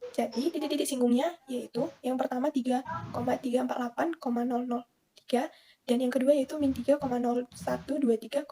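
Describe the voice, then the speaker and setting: A young woman from Indonesia works through a formula, dictating long strings of numbers without a break. Her voice sits high, with a mellow, husky tone. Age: young adult. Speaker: student presenter. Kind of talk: reading a math solution.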